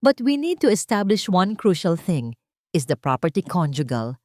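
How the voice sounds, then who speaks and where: An authoritative woman lawyer in her forties, voiced by a woman narrator in an audiobook drama. She is formal and measured, sharp but kind. The sound is dry and close. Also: lowish voice, very animated, dry recording. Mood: businesslike.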